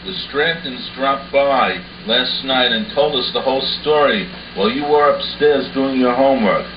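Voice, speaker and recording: A man's voice: mid-range voice, moderate pace, natural voice. A man playing a proud, kindly father in an amateur puppet show. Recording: muffled, echoey room with faint noise.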